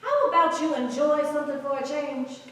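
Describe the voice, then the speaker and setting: An actress plays a wry, supportive, sassy woman in a staged reading. Her voice is high and lively, heard from a distance with heavy room echo. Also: moderate pace, a little hiss or hum. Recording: very echoey room, distant mic.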